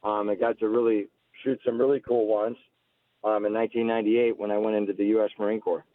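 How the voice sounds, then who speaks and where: A man's voice: fairly low pitch, level tone, quick pace. An American man in his forties talking casually as a radio interview guest, telling stories about himself. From United States, Alabama-born, grew up near Seattle. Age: 40s. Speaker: guest, political candidate. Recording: muffled lo-fi call-in radio line.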